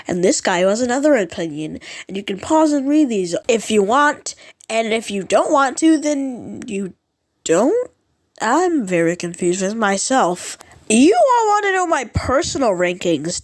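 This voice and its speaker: A woman giving casual, rambling vlog commentary in an informal, unsure and self-deprecating way. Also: medium pitch. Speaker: vlogger ranking subway announcements.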